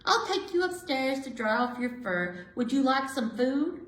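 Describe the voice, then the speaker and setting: A woman voicing a kindly, content elderly woman who gently reminisces and comforts in a rhyming picture book. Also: sits high, expressive, a little slow, voice pushed up. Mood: comforting. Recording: roomy, echoey indoor sound.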